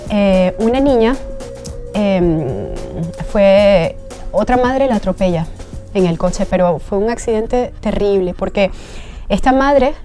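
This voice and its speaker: A woman's voice: medium pitch, mellow. A woman from Venezuela, a guest telling her life story in an earnest, reflective way. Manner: reflective, earnest, searching for words. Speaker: guest, train driver. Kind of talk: personal testimony in an interview.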